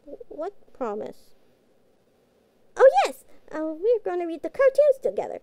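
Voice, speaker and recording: A woman's voice: very high voice, wildly swooping pitch, unhurried, dark tone, a little hiss or hum, pitched above the performer's own voice, heavily acted. A woman voicing a timid, eager young cat girl devoted to her master in a fantasy audio roleplay, hesitant and pleading like a child. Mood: excited, eager.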